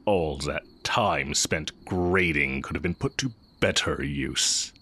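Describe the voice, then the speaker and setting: A man playing an exiled heretic dark-magic teacher in an intimate audio roleplay, wry and condescending yet fond of the listener. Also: extremely low pitch, slow pace, slight background noise, full character voice. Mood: fond, musing.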